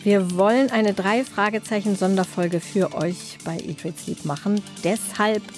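A woman's voice: medium pitch, measured pace, darkish tone, soft background music. A woman hosting a podcast in casual conversation. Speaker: podcast host.

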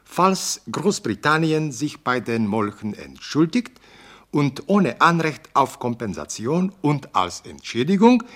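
An actor playing a formal, emphatic radio newsreader reporting on a conference in a radio play. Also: male voice, a little high, a bit bright, exaggerated voice. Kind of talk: scripted news bulletin within radio play.

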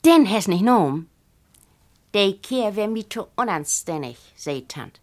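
A woman performing a proud, chatty village widow in Low German dialect, as an animated dramatic reading. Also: a little slow, natural voice. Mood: indignant. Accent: Low German, northern Germany.

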